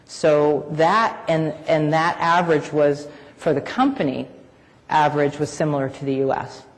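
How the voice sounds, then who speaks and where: A man giving a conference panel talk about workplace safety and wellness, measured and explanatory, with frequent fillers. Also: fairly high pitch, steady tone. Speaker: corporate safety and wellness leader. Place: large conference hall. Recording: long hall reverb.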